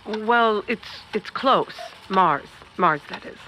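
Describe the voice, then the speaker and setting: Female scientist: deadpan, hesitant, deliberate delivery, sharp edge, faint noise behind, radio comedy. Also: medium pitch, rough recording. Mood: flustered, curt. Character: flustered, dry woman astronomy professor. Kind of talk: comedic radio drama acting.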